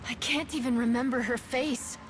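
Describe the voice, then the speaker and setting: A woman's voice: on the high side, steady tone, normal speed, background noise, lightly acted. A woman playing a haunted young warrior in a video game, recalling a traumatic childhood in a subdued, brooding way. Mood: troubled. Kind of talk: cinematic video game acting. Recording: music behind the voice.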